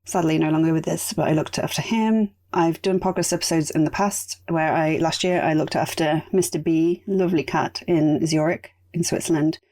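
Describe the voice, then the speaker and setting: Woman, podcast host, low voice, raspy today, rambling casual chat. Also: steady tone, moderate pace, few pauses, mellow. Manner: chatty, rambling asides. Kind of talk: casual solo podcast talk.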